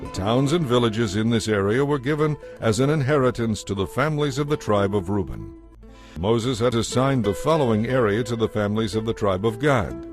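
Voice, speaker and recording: A man reading scripted scripture narration as narrator, in a measured, formal manner. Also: medium pitch, expressive. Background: background music.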